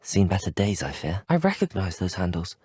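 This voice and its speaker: Earnest british teen wizard student, polite n curious, very up and down pitch, dry game audio. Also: male voice, wildly swooping pitch, brisk, darkish tone, husky, no echo, exaggerated voice. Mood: musing. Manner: courteous, well-spoken, earnest. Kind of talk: video game character voice lines.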